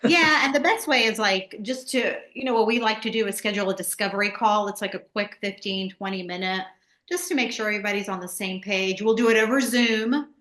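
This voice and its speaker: A woman from Charlotte in the US, chatting casually as an interview guest and explaining things in an informal, halting way. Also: medium pitch, fairly bright tone. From born in Greece, grew up in Charlotte, USA. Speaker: podcast guest, real estate transaction coordinator. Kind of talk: casual chat. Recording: echoey room.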